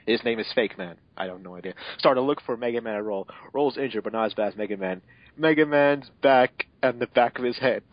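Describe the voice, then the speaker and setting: A man's voice: mid-range voice, brisk, no room sound. Dry, muffled young man reading fanfiction. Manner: sarcastic, often stumbling over the text. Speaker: fanfiction reader and commentator. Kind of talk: amateur dramatic reading with casual joking asides. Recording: dull, slightly muffled home recording.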